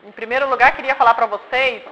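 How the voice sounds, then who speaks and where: A woman in her forties from Paraná speaks to a group of children about her life. She talks simply and keeps checking that they follow. Her voice sits high and sounds bright, with faint noise behind her. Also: fairly even intonation, quick pace, poor audio. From Paraná, Brazil. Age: 40s. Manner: simple explanations with frequent checks like "tá?" and "né?". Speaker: community member telling her life story to children. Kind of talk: informal talk with questions from an audience.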